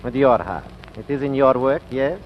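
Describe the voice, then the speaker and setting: A man's voice: mid-range voice, lively intonation, moderate pace, lightly acted. Suave broke ladies' man played by a man, wry radio-comedy delivery, muffled vintage sound. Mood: wry, teasing. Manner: wry, frank, stilted foreign phrasing. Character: suave, penniless fortune-hunting foreign charmer. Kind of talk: 1940s radio comedy acting. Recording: dull, noisy old radio recording.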